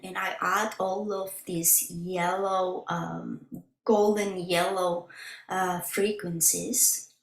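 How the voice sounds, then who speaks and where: Slow-speaking Spanish-native woman, echoey room. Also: mid-range voice. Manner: slow, halting, with frequent fillers and restarts. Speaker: crystal healer presenting her website. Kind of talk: screen-share walkthrough.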